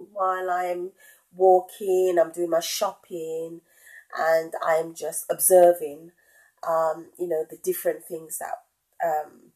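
A middle-aged woman hosting her own podcast, talking casually with a head cold and many pauses and ums. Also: lowish voice, dark tone, poor audio. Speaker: podcast host. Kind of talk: casual solo podcast talk.

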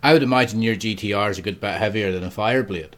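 A man's voice: on the low side, fast talker. A man, chatting casually as a co-host, joking and swearing as he talks. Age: 30s. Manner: banters, swears, laughs often. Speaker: podcast co-host. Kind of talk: casual chat.

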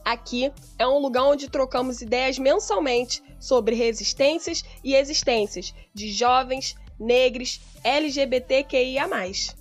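A woman with a high voice reads a scripted podcast introduction. Her delivery is clear and formal, with faint music behind her. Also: measured pace. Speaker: podcast co-host.

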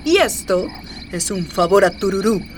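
An actress playing a haughty, imperious young gothic high priestess in a radio drama, disdainful in manner. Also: female voice, expressive, moderate pace, recorded from a distance, slight background noise, close to an everyday voice.

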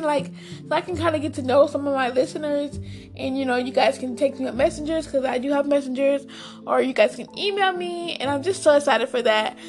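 A high-pitched woman with a raspy, hoarse voice chats casually with her podcast listeners. She talks with friendly enthusiasm and keeps calling them "guys". Faint music plays behind her. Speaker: podcast host. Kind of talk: casual solo podcast chat.

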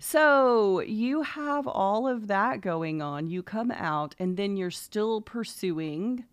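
A woman's voice: medium pitch, lively intonation, takes it slowly, clear, resonant tone. A woman hosting a podcast, asking questions in casual chat, laughing easily and encouraging her guest. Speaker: podcast host.

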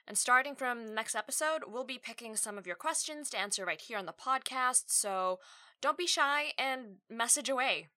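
A woman's voice: a little high, lively intonation, moderate pace, pushed and bright. A woman in her thirties from Australia, hosting her own podcast in a chatty, candid monologue with frequent laughs and asides. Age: 30s. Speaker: podcast host. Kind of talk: casual solo podcast monologue.

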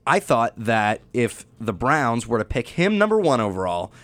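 A man's voice: medium pitch, sing-song, moderate pace, a bit bright, dry recording. Man, casual sports chat, rambling, dry close sound. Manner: rambling, restarts sentences, agreeable. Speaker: sports podcast co-host.

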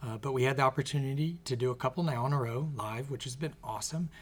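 A man hosting his podcast in casual conversation, his voice a little scratchy, talking in an earnest, reflective way that often trails off softly. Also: medium pitch, fast. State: slightly scratchy voice. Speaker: podcast host and author. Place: in the same room as co-host.

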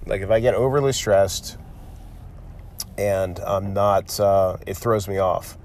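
A man's voice: sits low, steady tone, a little mellow, a little hiss or hum. Man with a stuffy head cold. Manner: reflective, motivational, halting with fillers and restarts. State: nasal and sniffly from a cold. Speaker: podcast host, physician. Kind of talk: casual solo podcast monologue.

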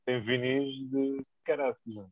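Um homem numa conversa descontraída, calmo e sempre a concordar. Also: male voice, mid-range voice, normal speed. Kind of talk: casual chat. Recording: tinny phone-like line.

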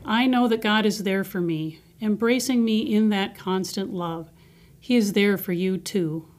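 A woman reading a scripted scripture passage and personal reflection in a measured, earnest way. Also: medium pitch, darkish tone. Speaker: devotional reader.

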